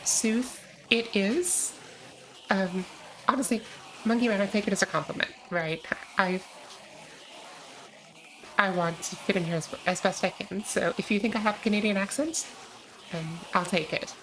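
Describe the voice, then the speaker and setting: An American woman chatting casually on a gaming livestream, answering chat with frequent pauses and ums. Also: medium pitch, fairly bright tone, a little hiss or hum, low-quality recording. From United States. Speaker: streamer.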